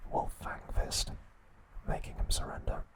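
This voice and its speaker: A man speaks entirely in a breathy whisper, in the style of ASMR narration. His delivery is hushed and measured, broken into short phrases as he recaps in a storytelling way. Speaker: host of an ASMR trivia channel.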